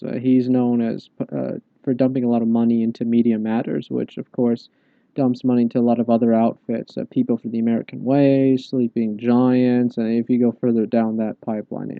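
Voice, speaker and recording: A man co-hosting a podcast, giving political commentary in measured, rather formal phrasing. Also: medium pitch, creaky. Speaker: podcast co-host. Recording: muffled, lo-fi, echoey room.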